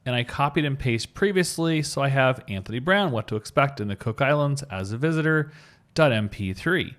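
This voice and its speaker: A man giving an upbeat, informal screencast coding tutorial, explaining things step by step. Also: medium pitch. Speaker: web developer giving a tutorial.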